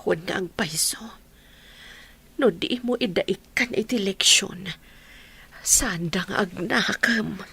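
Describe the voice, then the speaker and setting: A Filipino woman acting a frail, weary sick mother in a radio drama, speaking in a weak, breathy near-whisper with a Filipino accent. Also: medium pitch, slow, long pauses, bright, forceful tone, exaggerated voice. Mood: weak, worried. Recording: faint background noise.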